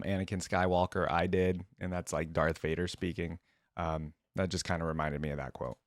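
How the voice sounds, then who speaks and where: A man's voice: low voice, clean tone, no room sound, clean studio sound. A man hosting a reaction video, commenting casually off the cuff and thinking aloud in informal speech.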